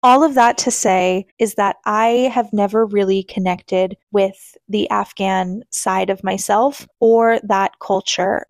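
A woman's voice: medium pitch, no echo, studio quality. A woman in her twenties from New York with an American accent, telling a personal story as a podcast host in a candid, informal way. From New York, United States. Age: 20s.

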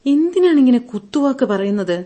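An actress playing a hurt young wife in a radio drama, pleading to be understood, plaintive and guarded. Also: female voice, high-pitched, big pitch swings, fairly quick, dark tone. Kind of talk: Malayalam radio drama acting.

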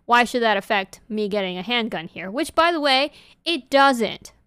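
A woman co-hosting a podcast in casual chat, English not her first language, talking in an animated, rambling way with many fillers. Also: fairly high pitch, normal speed, smooth, no echo. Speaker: podcast co-host.

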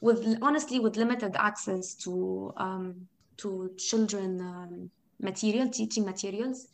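A woman from Lebanon with a Lebanese accent, Arabic her first language, speaking reflectively as an interview guest and often pausing to rephrase her thoughts. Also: medium pitch, creaky, slight background noise. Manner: reflective, thinks aloud, frequent "you know" and restarts. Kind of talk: conversational interview.

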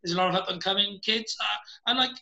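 Welsh male host, high and very bright voice, rambling with many ums, video call. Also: brisk, vocal fry. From Wales. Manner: rambling, hesitant, many fillers and restarts. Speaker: podcast host. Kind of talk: casual video-call chat.

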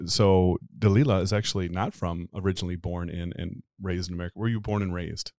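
A man's voice: deep voice, fairly even intonation, brisk, a little mellow, no echo, studio quality. A man hosting a podcast, joking and teasing his co-hosts in casual chat. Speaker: podcast host.

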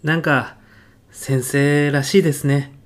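A man playing an earnest physicist in an audio comedy sketch, speaking formally and reverently of his mentor with deadpan, grandiose solemnity. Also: fairly high pitch, takes it slowly. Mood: solemn, respectful. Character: earnest, reverent male physicist, devoted protégé of late professor.

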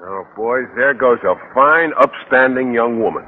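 An actor playing a mild, bashful, polite male high school teacher in a radio sitcom, speaking properly and hesitantly. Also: measured pace, narrow, tinny audio, lightly acted. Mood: mild, earnest. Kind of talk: 1950s radio sitcom acting. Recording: thin, band-limited old radio recording.

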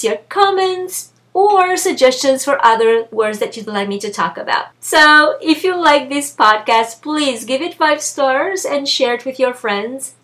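A woman hosting a language lesson podcast, delivering scripted phrases in a deliberate, clearly separated way. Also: high-pitched, fairly bright tone. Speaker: language teacher and podcast host.

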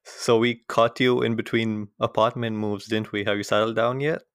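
Male podcast host with a smooth, dry, close voice; upbeat and even. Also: on the low side, moderate pace, dry recording. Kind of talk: podcast interview, scripted show opener.

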